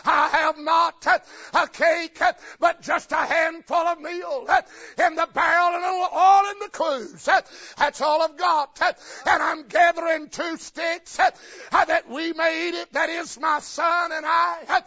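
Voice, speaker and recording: A man voicing a poor widow woman facing starvation, shouted and broken up with uh. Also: very high voice, steady tone, unhurried, exaggerated voice. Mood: resigned. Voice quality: shouted, punctuated by uh. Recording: lo-fi recording with faint congregation.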